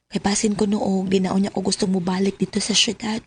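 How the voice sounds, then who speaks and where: An actress playing a sweet, lovelorn young student and obedient niece, with a Cebuano Filipino accent in radio drama style. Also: female voice, medium pitch, little pitch movement, a little fast, darkish tone, breathy edge. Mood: tender, sweet.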